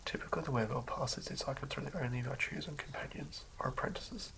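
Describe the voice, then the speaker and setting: A man doing a soothing ASMR reading of fantasy game lore in a hushed, breathy whisper, occasionally stumbling over words. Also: mid-range voice, fast. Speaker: ASMR host. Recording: lo-fi recording with clear background noise.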